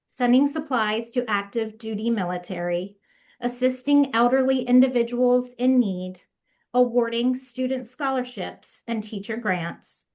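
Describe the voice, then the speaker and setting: A woman presenting on a video call, reading prepared notes at an unhurried pace with occasional fillers. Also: fairly high pitch. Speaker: association staff presenter. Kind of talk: webinar presentation.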